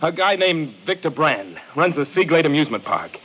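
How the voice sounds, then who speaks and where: Higher-voiced, blunt ex-soldier. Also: male voice, sing-song, normal speed, a little hiss or hum. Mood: challenging, resentful. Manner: challenging, slangy. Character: blunt, protective estranged husband, an ex-soldier. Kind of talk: 1950s radio crime drama acting. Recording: muffled vintage radio recording.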